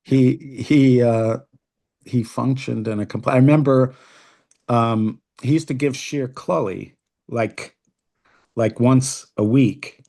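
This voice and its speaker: A middle-aged man co-hosting a podcast who recalls old memories in a casual, halting way, often searching for words. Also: mid-range voice, fairly even intonation, warm tone. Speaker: podcast co-host, rabbi. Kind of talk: casual reminiscing conversation.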